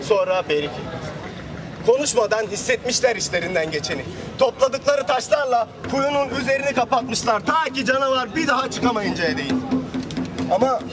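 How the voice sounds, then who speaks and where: A man with a very high-pitched voice performs a fairy tale in a declamatory, theatrical style, pausing and repeating for drama. He is heard from a distance outdoors, with loud music behind him. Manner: declamatory, dramatic pauses and repetitions. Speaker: storyteller, street theatre performer. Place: outdoors on a city street.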